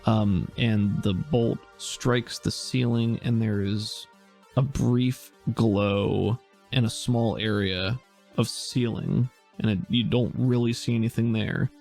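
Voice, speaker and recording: A man running a tabletop game with a low, gravelly voice. He talks in a relaxed, halting way, full of fillers and restarts. Faint music plays behind him. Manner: relaxed, hesitant, thinks aloud with fillers. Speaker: game master.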